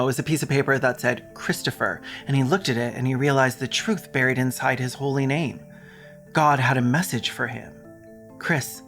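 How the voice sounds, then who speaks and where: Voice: medium pitch, a bit bright. A commentator delivering a scripted video essay with casual asides, in a sarcastic, mocking and sweary manner.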